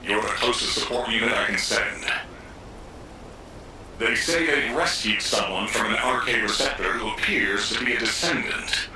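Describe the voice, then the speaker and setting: An actor plays a grave military commander in a game, solemn and measured. His voice is on the low side. It sounds roomy and distant, under loud noise. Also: close to an everyday voice. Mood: urgent, businesslike. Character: grave male military commander briefing an agent. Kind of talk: video game voice acting.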